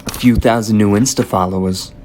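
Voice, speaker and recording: A man's voice: steady tone, brisk, darkish tone, close to an everyday voice. A man playing a sassy, gossipy, catty teenage debater in an amateur radio drama, chatty in his delivery. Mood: gossipy, pleased. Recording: clear background noise with low rumble.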